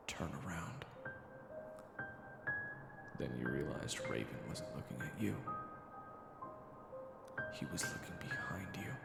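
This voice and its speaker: A man narrating a dark fantasy audio story as an ominous storyteller, with dramatic, suspenseful delivery. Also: extremely low pitch, gravelly, heavily acted. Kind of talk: scripted second-person story narration. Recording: echoey, long reverb.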